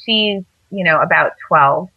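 A woman's voice: on the low side, a little slow, creaky, no echo. Woman guest rambling casually about her life, dull dry sound. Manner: rambling, many fillers and restarts. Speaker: interview guest. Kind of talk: casual storytelling.

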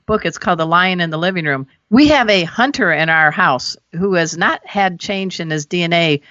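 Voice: mid-range voice, moderate pace, pushed and bright, dry recording. A talk-show host asking interview questions in a chatty, jokey way, with folksy turns of phrase. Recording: dry, muffled lo-fi audio.

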